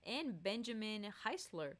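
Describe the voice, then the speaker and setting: A woman's voice: mid-range voice, big pitch swings, measured pace. A woman singer hosting a casual live stream, reading chat aloud and laughing often.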